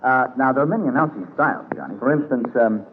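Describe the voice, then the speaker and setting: Male vintage radio announcer, explanatory banter, lively intonation, quick pace, narrow-band period sound. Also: mid-range voice, a little hiss or hum. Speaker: radio announcer giving pointers. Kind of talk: scripted 1940s radio banter. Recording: narrow-band 1940s radio broadcast recording.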